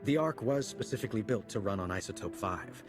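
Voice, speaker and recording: A man's voice: on the low side, lively intonation, brisk, music in the background, lightly acted. A man voicing an enthusiastic, nerdy engineer in a sci-fi game, talking in technical terms. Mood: explanatory. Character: eager, geeky top engineer. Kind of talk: scripted game dialogue.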